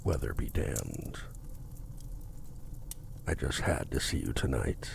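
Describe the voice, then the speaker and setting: A man playing a courtly, possessive, seductive demon in an ASMR roleplay, speaking formally and commandingly in a gravelly, at times breathy voice. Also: rumbling low, sing-song, background noise, full character voice. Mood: smooth, insistent. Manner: slow, formal, theatrical, commanding.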